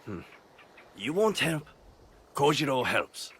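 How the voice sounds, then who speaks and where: An actor playing a sly, self-satisfied informant in a video game, with a husky voice and a teasing, wry, sing-song manner. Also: male voice, mid-range voice, big pitch swings, slow pace, a bit bright, heavily acted. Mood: sly, playful. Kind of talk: video game cutscene acting.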